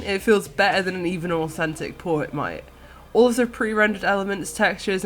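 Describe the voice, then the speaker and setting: A woman giving an enthusiastic, earnest personal video game review, partly scripted and partly conversational. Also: fairly low pitch. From countryside. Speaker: podcast guest reviewer. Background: music.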